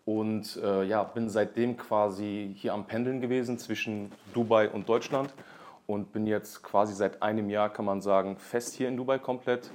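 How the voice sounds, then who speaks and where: A man's voice: on the low side, steady tone, moderate pace, darkish tone. A man in his thirties from Germany, a podcast guest talking casually with many fillers and restarts. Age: 30s. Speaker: podcast guest, real estate agent.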